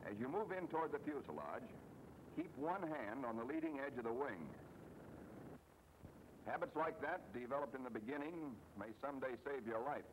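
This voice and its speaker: A man's voice: expressive, moderate pace. A man giving scripted instructional narration for a training film, explaining things in a folksy way with wry asides. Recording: noisy old training film soundtrack, engine rumble.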